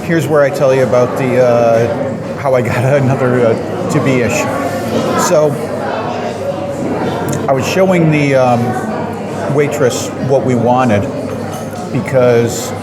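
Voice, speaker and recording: An American man hosting a travel food show, chatting casually about pastries he tastes, with ums and pauses while eating in an indoor cafe with high ceilings. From United States. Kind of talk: casual food tasting vlog. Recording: loud crowd noise behind. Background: busy crowd chatter.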